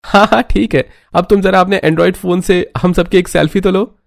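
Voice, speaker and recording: A man's voice: high voice, fairly even intonation, fairly quick, vocal fry, no room sound, studio quality, pitched above the performer's own voice. A man voicing an easygoing young man of the family in a cartoon story. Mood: cheerful. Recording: music bed under the voice.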